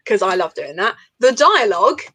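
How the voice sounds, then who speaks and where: A woman's voice: medium pitch, sing-song, normal speed, sharp tone, no echo. A woman chatting casually and enthusiastically about books as host of an informal group livestream. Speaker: host of a book readalong livestream. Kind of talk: casual group book chat.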